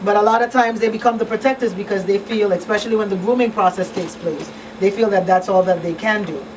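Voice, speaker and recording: A middle-aged woman hosts a talk show in an earnest, conversational way, repeating phrases to drive them home. Her voice sits at an average pitch. She sounds a little away from the microphone, with faint room noise behind her. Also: fairly even intonation, fast, distant mic. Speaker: radio talk show host. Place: small radio studio. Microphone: boom-arm studio microphone.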